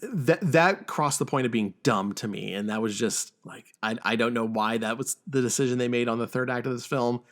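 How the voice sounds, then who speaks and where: Male podcast host, mid-range voice, casual film discussion, stop-start halting phrasing. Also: mid-range voice.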